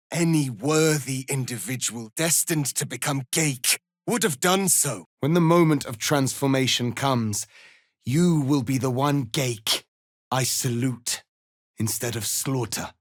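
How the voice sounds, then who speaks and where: A man playing a proud, stern alien warrior prince in a fantasy video game, speaking formally and gravely with commanding, measured delivery. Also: mid-range voice, no echo, clean studio sound, lightly acted. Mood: grim, proud. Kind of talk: dramatic fantasy game dialogue.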